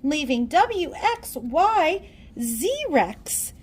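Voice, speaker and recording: A woman reading a children's storybook aloud in a theatrical, deliberate storytelling manner. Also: sits high, big pitch swings. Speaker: storybook reader. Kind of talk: children's read-aloud narration.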